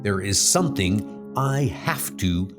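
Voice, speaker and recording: A man's voice: lowish voice, animated, slow, over loud music, pitched below the performer's own voice. A man voicing an earnest, resolute adult archer superhero with a grave manner. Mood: shaken, resolute.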